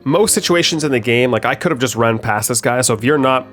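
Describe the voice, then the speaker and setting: A man's voice: medium pitch, quick pace, fairly bright tone, soft background music. A young man with a stuffed-up voice from a head cold, casually explaining the game he made as he plays it, with frequent ums and uhs. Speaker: game developer. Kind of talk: gameplay commentary.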